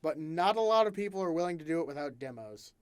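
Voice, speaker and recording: A young man from the American South without a Southern accent, hosting a casual chat, relaxed and chatty with lots of fillers and laughs. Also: fairly high pitch, quick pace, clean tone, no room sound. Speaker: podcast host.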